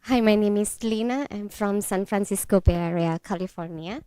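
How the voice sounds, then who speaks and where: A woman from Indonesia who works as a travel content creator, giving an earnest, halting comment to a panel. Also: medium pitch, warm tone, clean tone, dry recording. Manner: earnest, halting, searching for words.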